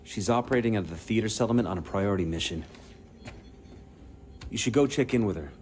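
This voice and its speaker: Male actor as sardonic, weary operations coordinator, game cutscene; lowish voice, blunt and casual, faint noise behind. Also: lively intonation, quick pace, darkish tone, close to an everyday voice. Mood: wry, urgent. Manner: blunt, informal, foul-mouthed. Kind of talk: video game cutscene acting.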